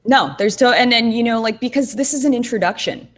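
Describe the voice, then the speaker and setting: A woman's voice: a little high, brisk. A woman co-hosting a casual podcast chat over a video call, talking in a thoughtful, rambling way with many fillers and restarts. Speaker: co-host.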